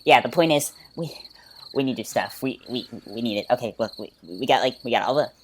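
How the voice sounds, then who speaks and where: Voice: mid-range voice, expressive, fairly quick, a little hiss or hum, natural voice. A performer voicing a desperate, jittery cartoon sponge who stammers and pleads in a parody dub.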